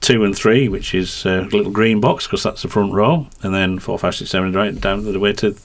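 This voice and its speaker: Low-voiced middle-aged Yorkshire man. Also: fairly even intonation, moderate pace. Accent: Yorkshire twang. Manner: rambling, hesitant, detail-heavy explanations. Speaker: racing commentator and guest. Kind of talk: podcast conversation.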